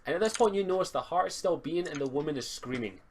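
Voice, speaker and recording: A man's voice: a little high, lively intonation, fast talker. Man running a tabletop game, faintly noisy mic. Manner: informal, improvised descriptions. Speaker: game master. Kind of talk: narrating a tabletop roleplaying game. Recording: noisy home mic with clicks.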